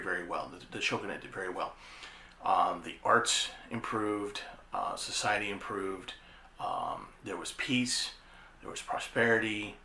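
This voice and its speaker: A man's voice: fairly low pitch, gravelly, sharp tone. A man hosting an informal history explainer, talking conversationally and repeating phrases for emphasis. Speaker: host of an anime history show. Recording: roomy echo with faint background noise.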